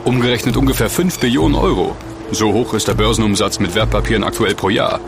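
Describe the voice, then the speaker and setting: A young know-it-all investigator in natural radio-play dialogue. He sounds inquisitive and explanatory, fairly low in pitch, with music behind. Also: fast talker, vocal fry. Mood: curious, explaining. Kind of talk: naturalistic audio-drama dialogue.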